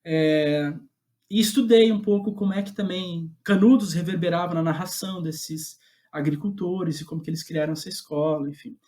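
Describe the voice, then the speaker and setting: A man with a Brazilian Portuguese accent speaking as an interview guest, casual and reflective, halting as he thinks aloud. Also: fairly high pitch, dark tone. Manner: hesitant, halting, thinking aloud with repeated words. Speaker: podcast guest, playwright.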